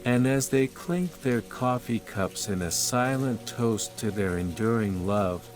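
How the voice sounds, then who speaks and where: Calm guy reading a bedtime story real slow, soft music and rain behind him. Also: mid-range voice. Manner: calm, measured, unhurried storytelling. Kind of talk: slow, soothing scripted narration of a sleep story. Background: calm music and rain sounds.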